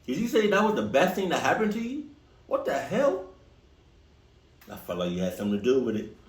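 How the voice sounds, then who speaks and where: Young male reaction host, lively and jokey, roomy sound. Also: a little high, fairly quick. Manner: joking, teasing, animated asides. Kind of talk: casual reaction commentary.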